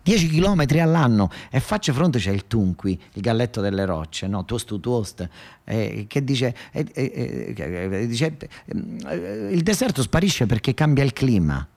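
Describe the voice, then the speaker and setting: A man with a southern Italian accent performs a theatrical, colloquial storytelling monologue, emphatic and halting, often repeating his words. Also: medium pitch, sing-song, gravelly, darkish tone.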